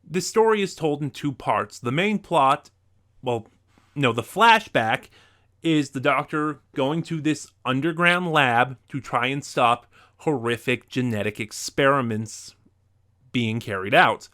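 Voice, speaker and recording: Male video reviewer, mid-pitched, talking viewers through semi-scripted commentary. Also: unhurried, pushed and bright.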